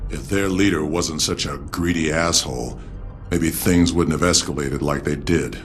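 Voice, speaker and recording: An actor playing a proud, vengeful gang boss with a cold, controlled menace in a scripted video game monologue. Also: male voice, a bass voice, moderate pace. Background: music behind the voice.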